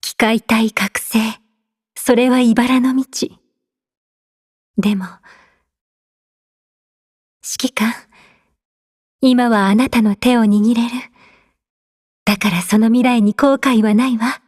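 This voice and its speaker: Refined, caring young game heroine: gentle and devoted, soft graceful phrasing, raised pitch, very dry sound. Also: female voice, fairly even intonation, measured pace, long pauses, dry recording. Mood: solemn devotion. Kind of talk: scripted Japanese game character voice lines.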